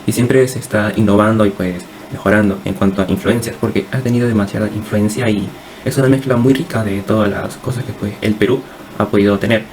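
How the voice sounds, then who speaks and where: Fast, even-toned young Peruvian man. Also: fairly low pitch, a little hiss or hum, rough recording. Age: young adult. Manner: hesitant, halting with repeated words. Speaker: university student presenting. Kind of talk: informal class presentation.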